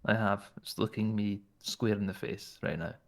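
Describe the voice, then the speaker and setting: A man's voice: fairly low pitch, steady tone, normal speed, gravelly. A man with a Scottish accent talking in character during a roleplay, flirtatious and teasing. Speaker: roleplay player.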